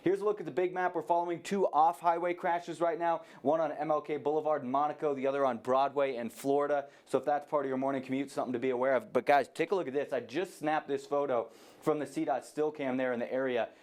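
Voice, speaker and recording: Rapid American male traffic reporter. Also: on the high side, fairly even intonation, a little mellow. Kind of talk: conversational live traffic report.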